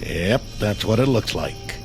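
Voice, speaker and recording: An actor playing a war-weary, humane army sergeant in a radio drama, dry and sarcastic with a folksy manner. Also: male voice, on the low side, expressive, measured pace, noisy background. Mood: dryly sardonic. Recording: radio drama with faint music underneath.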